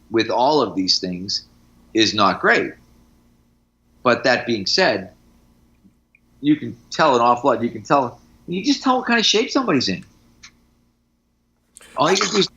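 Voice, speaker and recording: Bright-voiced male strength coach, slightly dull audio. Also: medium pitch, expressive, normal speed, long pauses. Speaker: strength coach, podcast guest. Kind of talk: casual podcast talk with anecdotes.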